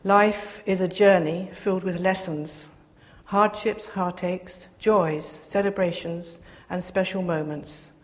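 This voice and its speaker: An older woman giving a soft-spoken, reflective personal sermon to her congregation, pausing often as she speaks. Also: lowish voice. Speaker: preacher.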